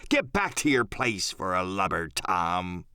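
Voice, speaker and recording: A man's voice: sits low, big pitch swings, a little slow, bright, forceful tone, smooth, dry recording, clean studio sound, voice pushed down, heavily acted. A man playing a big, commanding one-legged pirate landlord in an audiobook, cunning and cheerful, with drawling, blustering sailor slang. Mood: stern, mocking.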